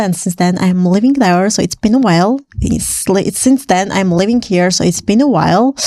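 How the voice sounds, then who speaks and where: A woman's voice: medium pitch, darkish tone, no echo, studio quality. Chatty laughing woman from Armenia. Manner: chatty, laughs often, many fillers. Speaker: vlogger. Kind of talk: casual Q&A to camera.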